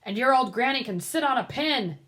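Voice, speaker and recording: A woman voicing a rude little pig who yells curtly in a children's storybook read-aloud. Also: sits high, expressive, fairly quick. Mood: taunting. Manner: loud, curt yelling. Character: rude, shouting little pig behind his door.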